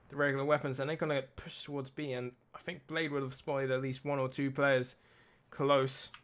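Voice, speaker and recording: Tired, slightly raspy male game caster. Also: on the high side, fairly bright tone, slight background noise. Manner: self-deprecating, talks through tech trouble. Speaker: esports caster. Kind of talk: play-by-play commentary.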